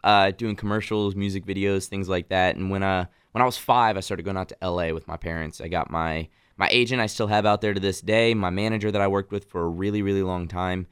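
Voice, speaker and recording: A man's voice: low voice, brisk, hardly any pauses, clear, resonant tone, dry recording. A nineteen-year-old man from Nashville with a mixed Southern and Midwestern accent, chatting as a guest in a radio studio. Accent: mix of Southern and Midwestern American. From Nashville, Tennessee. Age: young adult. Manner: friendly, animated storytelling with restarts. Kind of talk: casual radio interview chat.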